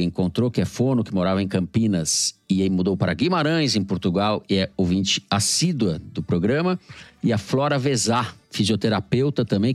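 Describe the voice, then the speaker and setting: A middle-aged Brazilian man with a fairly low voice hosts a podcast from his home. He sends greetings and rattles off the credits in a relaxed, joking way. Soft music plays faintly underneath. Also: no echo. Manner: reads credits quickly, joking sign-off. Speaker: podcast host. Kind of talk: political podcast chat and closing credits. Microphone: remote home mic.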